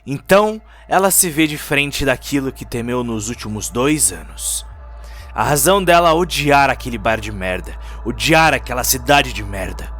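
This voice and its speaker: A man's voice: mid-range voice, expressive, moderate pace, fairly bright tone. A man with a Brazilian Portuguese accent giving dramatic scripted narration of a cyberpunk story. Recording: music under the voice.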